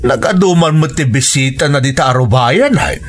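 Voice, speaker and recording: An actor in a radio drama playing an exasperated male relative, with emphatic, drawn-out exclamations. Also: mid-range voice, lively intonation, close to an everyday voice.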